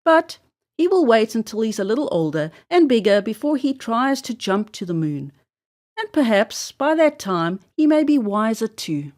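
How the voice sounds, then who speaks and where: Animated, higher-pitched woman reading a children's story. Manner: expressive, deliberate storybook delivery. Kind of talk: children's story read-aloud narration.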